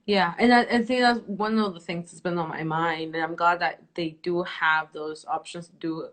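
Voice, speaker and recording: A young woman from El Salvador, a native Spanish speaker, hosts the conversation. She speaks in a thoughtful, soft-spoken way with frequent "um" pauses, in a somewhat low voice. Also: fairly even intonation. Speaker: host. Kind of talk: interview host.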